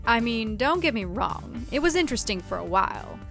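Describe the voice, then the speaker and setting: A woman's voice: a little high, sing-song, a little fast, creaky, over music. A woman voicing a smug, overly familiar man on a farm who talks in a boastful, folksy and pushy way. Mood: confiding.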